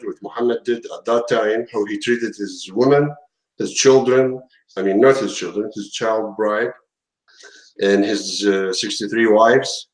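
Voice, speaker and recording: A man hosting an online talk show, speaking haltingly with many fillers and restarts. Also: lowish voice, reverberant, poor audio. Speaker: host.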